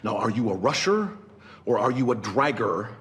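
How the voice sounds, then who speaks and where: An actor playing an abusive middle-aged music teacher, menacing and profane, swinging from quiet threats to shouts. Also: male voice, lowish voice, very animated, normal speed, slight background noise. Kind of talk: film acting.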